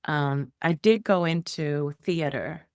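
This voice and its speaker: A woman's voice: deep voice, slow, a little mellow, no echo, studio quality. A middle-aged woman from Iceland, a guest telling her life story in a rambling way with many fillers and restarts. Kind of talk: conversational interview storytelling.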